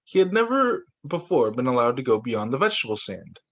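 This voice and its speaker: A man's voice: mid-range voice, sing-song, a little fast, a bit bright. A man reading a children's story aloud as a teacher, expressively, with exclamations and little asides of his own. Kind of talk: animated picture-book read-aloud with asides.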